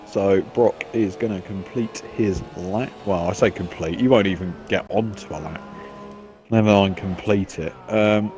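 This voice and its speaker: A man's voice: sits low, unhurried, a little mellow, soft background music. A man commentating a sim race in casual chat, sounding nasal from a cold, rambling and poking fun at himself. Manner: rambling, self-mocking, chatty.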